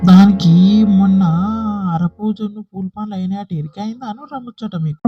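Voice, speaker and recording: Man playing a high-voiced bossy Telangana village wife. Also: fairly even intonation, brisk, over loud music, close to an everyday voice. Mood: gossipy, emphatic. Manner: emphatic, complaining, earthy. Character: know-it-all village wife, bossy gossip. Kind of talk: comic village gossip dialogue in a cartoon. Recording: dull, lo-fi cartoon soundtrack.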